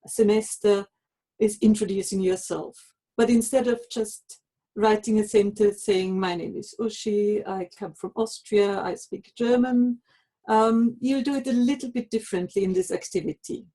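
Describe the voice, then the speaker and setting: Woman webinar presenter, mellow tone, explanatory and instructive. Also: medium pitch, steady tone, normal speed, poor audio. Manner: explanatory, gives practical instructions. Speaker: webinar presenter for language teachers. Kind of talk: live online lesson.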